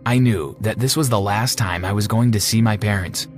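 A repentant teenage bully played by a man tells his story in the first person. The voice is fairly low, earnest and dramatic, with music running underneath. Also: normal speed, close to an everyday voice. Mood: resigned, then amazed.

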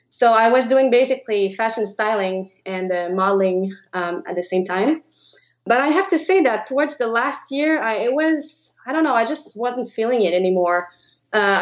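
A woman in her thirties from Quebec with a French-Canadian accent, native French, speaking haltingly as a podcast guest. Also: mid-range voice, highs cut off. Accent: Québécois French. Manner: halting, searches for words. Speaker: guest photographer. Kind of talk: podcast interview.

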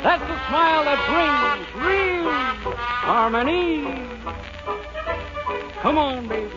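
High-pitched hammy man crooner, old radio. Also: takes it slowly. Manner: theatrical, crooning with spoken asides. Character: hammy jazz crooner pleading with his audience. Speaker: singer and comedian. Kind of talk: 1940s radio comedy song act. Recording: muffled old radio recording, music behind.

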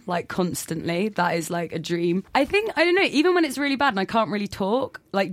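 A woman's voice: medium pitch, dry recording. A young woman, a punk singer, talking casually in a radio interview with lots of "like" fillers. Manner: chatty, self-deprecating, many "like" fillers. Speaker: punk band singer, guest. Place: radio studio.

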